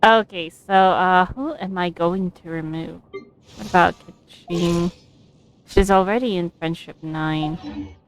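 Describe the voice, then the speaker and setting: A woman livestreaming a video game, thinking aloud casually in short, halting phrases. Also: on the low side, poor audio. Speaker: game streamer. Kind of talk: casual livestream gameplay commentary.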